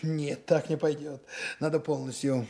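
High-voiced, deliberate police investigator. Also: male voice, flat delivery, warm tone. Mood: businesslike dictating. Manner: brisk, instructing, deliberate dictation. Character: brisk male senior criminal investigator, commanding but patient. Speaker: actor. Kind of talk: Soviet radio drama acting.

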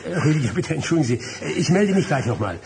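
An actor playing a formal, cautious middle-aged lawyer in a German radio drama, courteous and trying to stay composed. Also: male voice, a little high, very animated, creaky, a crowd in the background. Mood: flustered, irritated. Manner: formal, courteous, measured, slightly halting.

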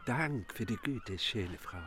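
An actor playing a rustic wandering village fiddler, sly and bitter, talking in clipped, folksy, mocking phrases in a radio drama. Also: male voice, mid-range voice, very animated, takes it slowly, pushed and bright, slight background noise, exaggerated voice. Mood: grateful, wistful. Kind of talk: German radio drama acting.

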